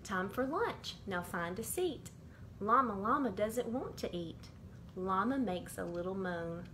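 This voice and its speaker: A middle-aged woman reading a rhyming children's picture book aloud in a measured, sing-song way, as bedtime stories from a bedroom. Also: medium pitch, expressive, a bit bright. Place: small indoor bedroom. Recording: clearly noisy amateur home recording.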